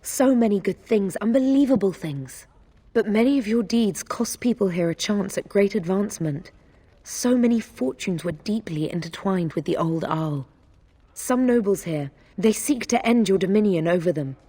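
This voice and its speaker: A woman playing a guarded, shrewd noblewoman informant in a fantasy game, speaking in a deliberate, confiding way. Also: mid-range voice, lively intonation, warm tone, slightly rough. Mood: secretive, grave. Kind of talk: scripted fantasy game dialogue.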